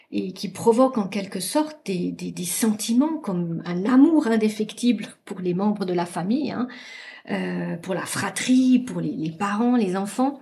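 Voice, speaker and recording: A woman comments on literature in a loosely spoken way, often repeating words while she thinks. Her voice has a mellow, warm tone and a mid-range pitch.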